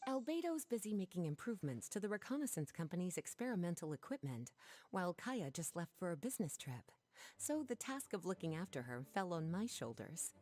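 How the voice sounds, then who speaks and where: An actress voicing a relaxed, gently teasing adult librarian in a video game, speaking in an unhurried, languid way. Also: female voice, lowish voice.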